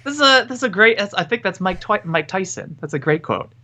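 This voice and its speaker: Man, podcast co-host, bright tone, jokey, rambling, headphones on. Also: high voice, expressive, quick pace. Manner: joking, rambling, self-interrupting. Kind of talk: casual chat. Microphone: headphones with mic.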